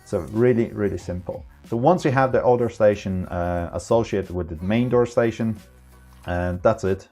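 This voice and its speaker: A man with a deep, mellow voice walks through a product tutorial off the cuff. He explains each step haltingly and informally, with faint music behind him. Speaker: product trainer, tutorial presenter. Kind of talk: informal unscripted software tutorial.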